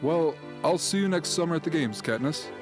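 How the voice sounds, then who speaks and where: A man's voice: fairly high pitch, expressive, a little fast, natural voice. A man playing a polite, genial but guarded official in an amateur radio drama, with a courtly manner. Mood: genial, sly. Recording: music behind.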